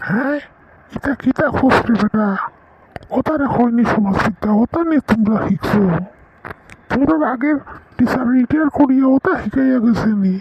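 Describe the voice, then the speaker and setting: A man playing a strict, scolding Sylheti schoolmaster in a comic cartoon, with a husky, gravelly voice and a Sylheti accent. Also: very high-pitched, moderate pace, background noise. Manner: scolding, questioning. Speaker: teacher. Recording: dull, lo-fi sound.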